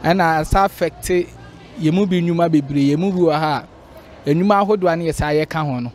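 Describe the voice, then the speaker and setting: A man's voice: fairly high pitch, fast. A young man with a Ghanaian accent giving a street interview into a handheld mic. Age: young adult. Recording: faint outdoor street noise.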